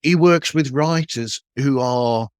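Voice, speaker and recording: A man's voice: medium pitch, slow, clear, resonant tone, dry recording, studio quality. A man hosting a podcast interview in a casual, meandering way, rephrasing his thoughts and questions as he goes.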